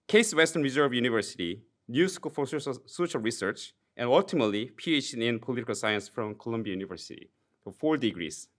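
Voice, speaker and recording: A man's voice: mid-range voice, fairly bright tone. A young man with a Korean accent giving a formal introduction speech at a university podium, reading a tribute with the odd dry joke. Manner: reads a formal tribute with occasional self-deprecating jokes, some restarts. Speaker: PhD graduate introducing a speaker. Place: university lecture hall. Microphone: podium microphone.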